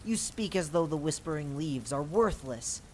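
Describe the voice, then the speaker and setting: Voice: mid-range voice, animated, normal speed, a little hiss or hum, close to an everyday voice. A performer voicing proud, rivalrous fae courtiers of the seasons in a theatrical, declamatory audio-drama style. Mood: proud, indignant.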